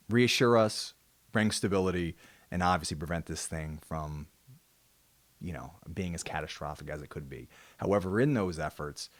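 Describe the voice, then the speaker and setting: A man with a very low, deep voice talks off the cuff as the host of his own show. He rambles and pokes fun at himself, now and then jumping into loud, joking outbursts. The recording is close and dry, with no room sound. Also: dry recording. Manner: rambling, self-mocking, with sudden loud jokey bursts. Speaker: podcast host. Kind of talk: casual solo monologue.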